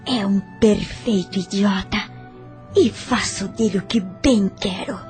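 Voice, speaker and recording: A woman acts a manipulative, contemptuous wife in a radio novela. She has a mid-range voice and a Brazilian accent. Music plays clearly behind her words. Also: medium pitch, measured pace, natural voice. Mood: scornful. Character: scheming, scornful, manipulative married woman.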